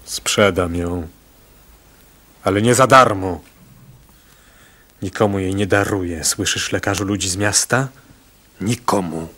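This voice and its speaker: Husky, proud pearl diver. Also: male voice, low voice, unhurried, long pauses, sharp tone, heavily acted. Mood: proud, defiant. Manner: terse, grave, defiant. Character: proud, stubborn poor pearl diver, stern husband. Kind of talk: radio play acting.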